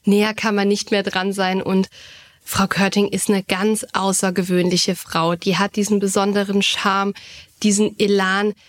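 Woman, medium pitch, podcast co-host, articulate, appreciative, casual chat. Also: flat delivery, unhurried.

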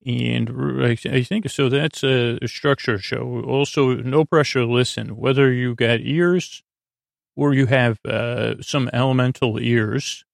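A man hosting a bedtime podcast in a rambling monologue, halting and stammering, often repeating himself and trailing off mid-word. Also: mid-range voice, steady tone, dry recording, studio quality. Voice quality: creaky, gravelly. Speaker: podcast host.

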